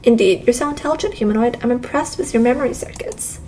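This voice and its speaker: Woman as feminine robot, high voice, formal, measured, polite and protective, visual novel game, noisy background. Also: dark tone, natural voice. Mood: admiring.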